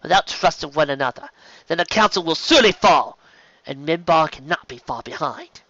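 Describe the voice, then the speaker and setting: A man's voice: a little high, lively intonation, normal speed, sharp tone, no room sound, muffled recording. A man voicing a principled alien councillor who speaks in formal, earnest oratory, in an audiobook reading. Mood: insistent, earnest. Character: principled alien councillor urging trust and duty.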